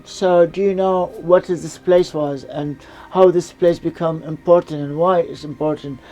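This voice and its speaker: A woman's voice: sits low, mellow, poor audio. A woman from Iraq, hosting her TV show in a reflective, rambling monologue with loose grammar. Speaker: TV show host.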